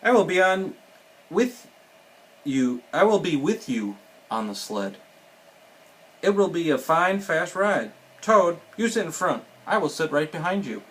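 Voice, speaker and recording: A man's voice: on the high side, big pitch swings, a little slow, pauses often, fairly bright tone, voice pushed up. A man voicing an upbeat, reassuring frog from a children's storybook, with an encouraging, insistent way of talking. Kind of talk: children's storybook read-aloud.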